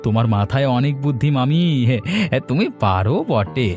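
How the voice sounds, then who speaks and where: A man plays a thieving servant in a cartoon tale, deferential yet cocksure. His voice sits at a medium pitch, eager and confident, with faint music behind. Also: moderate pace, darkish tone, natural voice. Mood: flattering. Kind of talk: dubbed cartoon story acting.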